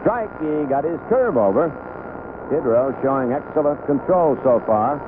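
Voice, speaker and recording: A man calling baseball play-by-play live on the radio in short, unhurried phrases. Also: fairly high pitch, lively intonation. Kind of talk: live radio sports commentary. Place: outdoor ballpark broadcast booth. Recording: tinny, noisy old AM radio broadcast.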